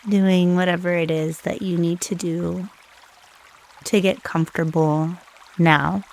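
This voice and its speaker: A woman leading a guided meditation in a soothing, unhurried way, leaving long pauses between short phrases. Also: lowish voice, fairly even intonation, darkish tone.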